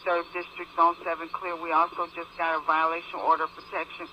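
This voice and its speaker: A man's voice: fairly high pitch, completely flat delivery, quick pace. A man with an American accent working as a police dispatcher, giving clipped, procedural calls over the radio. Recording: muffled police scanner radio, static noise.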